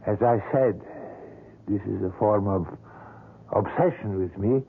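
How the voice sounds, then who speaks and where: An actor playing an obsessive older male sculptor in a vintage radio drama, speaking in a smooth, courtly, persuasive way. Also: on the low side, unhurried, exaggerated voice. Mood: courtly, insistent. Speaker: sculptor character. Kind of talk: 1970s radio drama acting. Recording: tinny lo-fi old radio broadcast.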